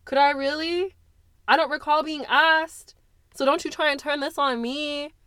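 A woman voicing a hurt teenage girl who shouts bitterly at her mother through tears. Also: fairly high pitch, animated, normal speed, dry recording, studio quality, pitched above the performer's own voice. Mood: furious.

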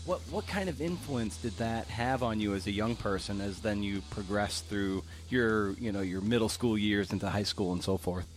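Low-voiced man hosting a podcast. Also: moderate pace, hardly any pauses, soft background music, poor audio. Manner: wry, informal, playful asides. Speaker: podcast host. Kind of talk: conversational podcast monologue and interviewing.

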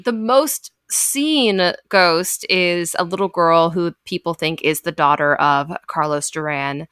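A chatty woman hosts a casual storytelling podcast. She talks in an animated, loose way, full of filler words and playful sound effects. Her voice sits a little low but comes across bright and forceful, recorded close and dry. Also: slow pace, clean tone, dry recording. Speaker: podcast host.

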